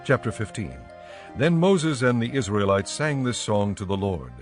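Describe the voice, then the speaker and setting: A man narrating dramatized scripture in a measured, formal way. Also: lowish voice, expressive. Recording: dramatic music behind voice.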